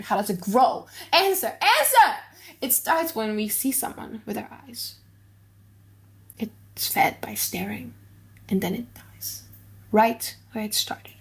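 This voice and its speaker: A theatrical singer of mournful love riddles, played by a woman for an audiobook. The phrases are lilting and drawn out, often lifting into a high pitch. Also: full character voice. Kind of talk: dramatic audiobook reading in modern English.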